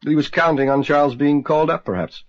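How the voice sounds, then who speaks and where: An actor playing a reassuring, sceptical gentleman, polite and understated, in a radio drama. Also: fairly high pitch, quick pace, close to an everyday voice. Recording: dull lo-fi old radio recording.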